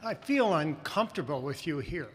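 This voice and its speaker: A man reads short scripted statements in a voice on the high side for a man. Long reverb from a large hall surrounds him. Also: sing-song, normal speed, slightly rough. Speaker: reader of short personal statements.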